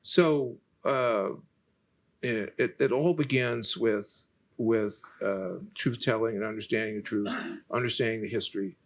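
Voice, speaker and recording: A soft-spoken man, lecturing and answering questions in a halting way, with many restarts. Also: on the low side, fairly even intonation. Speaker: featured lecturer in a Q&A. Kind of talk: unscripted lecture conversation.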